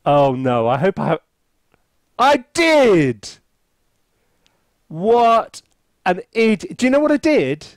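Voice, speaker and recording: A man chatting casually as he hosts a software review, with an animated, theatrical and self-mocking manner. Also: high-pitched, takes it slowly, pauses often, no echo, clean studio sound. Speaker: composer and reviewer, video host.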